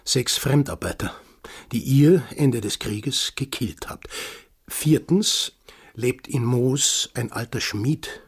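Husky, sardonic accuser. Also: male voice, medium pitch. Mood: sardonic, accusing. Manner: insistent, sardonic, deliberate. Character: bitter, stubborn man accusing a village of murder. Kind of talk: radio drama acting.

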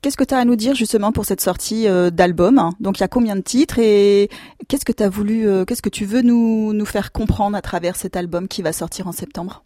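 A woman's voice: medium pitch, normal speed, dark tone, dry recording, clean studio sound. A woman interviewing a singer casually, stumbling over her questions and correcting herself. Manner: informal, stumbling, self-correcting.

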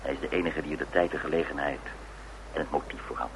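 An actor playing a cool, terse private detective in a radio play, deadpan and clipped. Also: male voice, very deep voice, fast. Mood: cool, accusing. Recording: noisy old radio drama recording.

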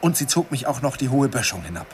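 A man's voice: medium pitch, big pitch swings, fast talker, lightly acted. An actor narrating as an older man wistfully recalling his youth in a classic radio play. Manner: wistful storytelling, chuckling. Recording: hissy old radio recording.

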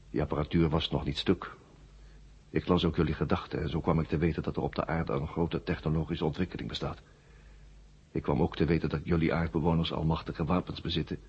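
A man playing an ancient, worn-out war machine brain in a 1970s radio drama, weary and forgetful, in flat, halting, repetitive statements. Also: extremely low pitch, breathy edge, exaggerated voice. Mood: weary, explaining. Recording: muffled old radio drama recording.

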